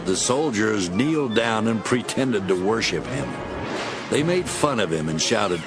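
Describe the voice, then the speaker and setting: A man narrating a dramatized Bible as expressive, scripted storytelling. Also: fairly low pitch, a little slow. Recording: music behind.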